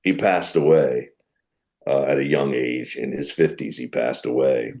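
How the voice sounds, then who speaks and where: Deep-voiced middle-aged man telling stories. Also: a little slow. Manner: animated storyteller who acts out quoted people. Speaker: guest comedian. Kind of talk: storytelling in a podcast interview.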